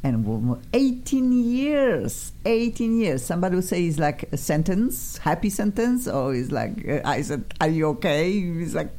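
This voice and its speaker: A Polish-accented woman hosting a casual studio chat, gushing and chatty. Her voice sits low and mellow but leaps around in pitch. A faint noise runs under the recording. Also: moderate pace, few pauses. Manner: effusive, chatty, flattering guests. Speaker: radio show host. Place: radio studio.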